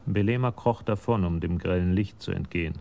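An actor as the sober, detached storyteller narrating a scripted radio crime drama. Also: male voice, low-pitched, a little fast, gravelly, a little mellow.

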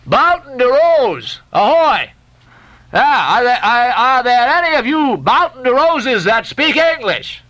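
Man voicing a loud teasing seaman, high pitched and animated. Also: measured pace, heavily acted, low-quality recording. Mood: bawling mockery. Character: brash jolly male sailor, mocking.

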